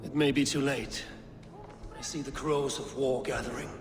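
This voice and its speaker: A man playing a steady, pragmatic Viking clan leader in a video game, measured and resolute. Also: mid-range voice, music in the background, close to an everyday voice. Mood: grim. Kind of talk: dramatic video game acting. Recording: reverberant hall, faint noise.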